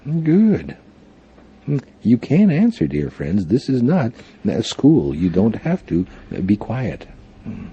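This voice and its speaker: A man leading a guided meditation for a group, speaking in formal, deliberate phrases punctuated by frequent hums. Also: fairly low pitch, wildly swooping pitch, slightly rough, lo-fi sound. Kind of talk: guided meditation instruction before a live group.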